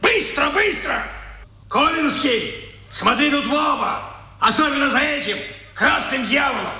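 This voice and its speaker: An actor playing a bullying Nazi guard who shouts orders in a 1950s Soviet radio drama. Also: male voice, extremely high pitch, animated, a little slow, roomy sound, heavily acted. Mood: harsh, urgent.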